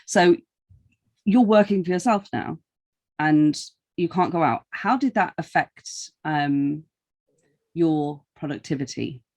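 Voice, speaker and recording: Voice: medium pitch, expressive, slow pace, a little mellow. A British interviewer from England, talking casually as a podcast host with wry, dry humour. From North East Lincolnshire, England.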